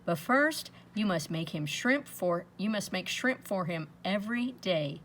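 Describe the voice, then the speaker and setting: A woman's voice: lowish voice, expressive, measured pace, fairly bright tone. Woman reading as magical sea lady, noisy recording. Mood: calmly instructing. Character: beautiful, magical sea lady giving instructions.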